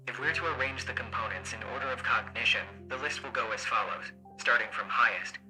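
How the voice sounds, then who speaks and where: A solemn textbook voice, read out by a solo performer in a visual novel. The delivery is a formal, clinical recitation with measured phrases, and clear music runs behind it. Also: medium pitch, sharp tone, rough recording.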